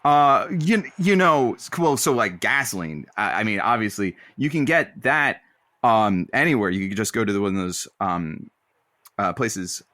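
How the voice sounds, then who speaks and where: A man's voice: fairly low pitch, expressive, pushed and bright, dry recording. An actor playing an eager, easily flustered stand-in radio host who bluffs his way along in a comedy audio drama. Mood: flustered bluffing. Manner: flustered, halting, eager to seem capable.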